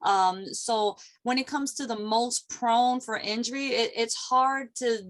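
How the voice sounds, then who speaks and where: A woman's voice: fairly high pitch, steady tone, a little slow. A middle-aged woman guest on a video call, speaking about her field in an emphatic, motivational way with rhythmic lists. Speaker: guest, sonographer and ergonomics expert. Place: office, indoors.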